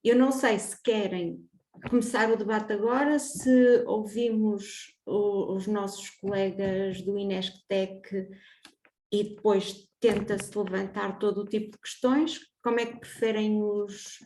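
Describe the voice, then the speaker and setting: A woman moderates an online seminar, talking very slowly and hesitantly. Her voice comes through a video call from an echoey room with a faint hiss behind it. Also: mid-range voice, fairly even intonation, mellow. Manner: slow, hesitant.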